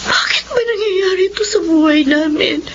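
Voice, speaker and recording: A burdened young elder sister in a radio drama. Her voice is very high and pleading, full of feeling, heard over loud noise on a slightly dull recording. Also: normal speed. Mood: despairing, tearful. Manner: emotional, pleading. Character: distressed young older sister Blessy, burdened, tearful, caring. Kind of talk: Filipino radio drama acting.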